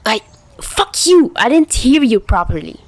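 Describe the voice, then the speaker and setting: A woman's voice: a little high, big pitch swings, a little slow, voice pushed up. A woman voicing a stubborn, hot-tempered teenage schoolboy in an audio story, blunt and easily embarrassed. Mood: mortified. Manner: blunt, swearing, blustering.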